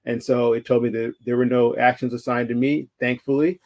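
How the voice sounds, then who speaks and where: A man's voice: medium pitch, little pitch movement, a little fast, dull audio. A man giving a conversational virtual conference talk over slides, with frequent fillers and restarts. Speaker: conference speaker.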